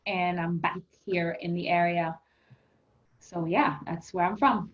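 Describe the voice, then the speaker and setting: A woman's voice: fairly low pitch, fairly even intonation, unhurried. A woman from Haiti, a yoga health coach, speaking earnestly and reflectively as a guest in a conversational interview. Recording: muffled lo-fi audio.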